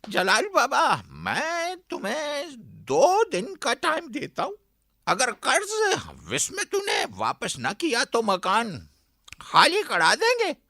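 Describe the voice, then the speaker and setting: An actor playing a gloating, menacing moneylender in a radio drama, with a sneering, drawn-out manner and mocking chuckles. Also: male voice, very high-pitched, wildly swooping pitch, vocal fry, bright, forceful tone, no room sound, studio quality, heavily acted. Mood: demanding.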